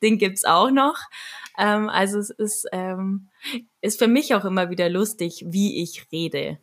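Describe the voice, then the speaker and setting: A woman's voice: mid-range voice, a little slow. A woman from Munich with a Bavarian accent, a trained radio and voice speaker, chatting casually and laughing often. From Munich, Germany. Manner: lively, laughs often while telling stories. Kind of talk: casual conversation.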